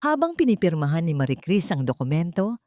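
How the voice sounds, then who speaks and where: Low-voiced woman narrating a dramatic story. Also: sing-song, brisk, mellow, no room sound, clean studio sound.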